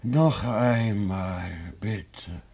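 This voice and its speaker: Very slow, sly fake invalid. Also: male voice, lowish voice, darkish tone, husky, heavily acted. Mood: coaxing. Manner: drawn-out, theatrical, mock-feeble. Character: sly rich schemer feigning sickness, wooing, plaintive. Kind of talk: classic German radio play acting.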